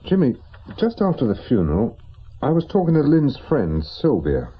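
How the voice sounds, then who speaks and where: An actor playing a reluctant, reasonable investigator in a radio drama, English-accented, with a hesitant and conciliatory manner. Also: male voice, medium pitch, wildly swooping pitch, normal speed, breathy edge, muffled recording. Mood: tentative, reasoning. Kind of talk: naturalistic radio drama acting.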